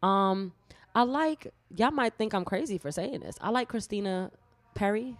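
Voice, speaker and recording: A young woman with a Trinidadian accent, co-hosting a casual podcast chat on a studio couch, talking loud, slangy and playful into a handheld mic. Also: mid-range voice, animated, normal speed. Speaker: podcast co-host. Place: small indoor studio set on a couch. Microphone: handheld microphone.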